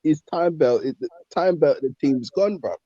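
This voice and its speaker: Male podcast panellist, dark husky tone, exasperated slangy banter, dull dry audio. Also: fairly high pitch, lively intonation, normal speed, no echo. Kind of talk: casual football banter.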